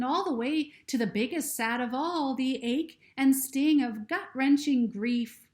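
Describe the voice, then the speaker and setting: A woman voicing a wise female ladybug who speaks in a gentle, reassuring way. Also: high voice, voice pushed up.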